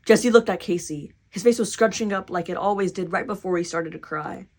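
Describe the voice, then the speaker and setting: A woman reading a children's picture book aloud in an expressive, dramatic way with excited asides. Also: fairly low pitch, brisk. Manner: animated, dramatic, cheering reader.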